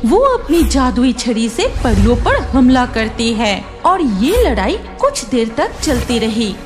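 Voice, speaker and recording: High, lively, slow storytelling woman. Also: faint music behind. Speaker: storyteller, narrator. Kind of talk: scripted fairy-tale narration for children.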